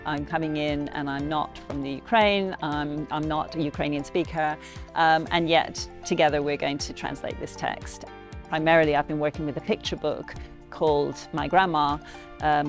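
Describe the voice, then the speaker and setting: A woman who leads translation workshops, talking in an interview, enthusiastic about her work. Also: low voice. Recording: music bed under voice.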